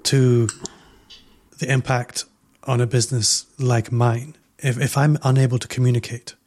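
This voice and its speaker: Measured man hosting a podcast. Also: mid-range voice, little pitch movement, a little mellow. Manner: reflective, measured, builds points with repetition. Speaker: podcast host. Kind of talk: casual podcast interview.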